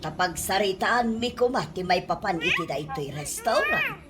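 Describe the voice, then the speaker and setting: An actress playing an expressive middle-aged aunt who runs a restaurant, exasperated but fair, in a radio comedy drama. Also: female voice, medium pitch, moderate pace, heavy background noise. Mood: explaining.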